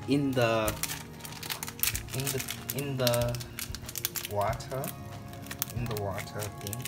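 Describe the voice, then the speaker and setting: A man's voice: mid-range voice, slow pace. A young man hosting a cooking vlog, casually narrating each step in an instructional way and often checking in with "right?". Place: home kitchen, indoors. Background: loud music.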